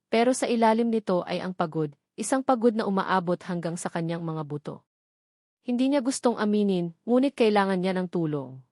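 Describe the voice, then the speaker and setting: A woman delivers scripted dramatic story narration. Her pitch sits in the middle for a female voice. The recording is very dry, without room echo. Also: darkish tone.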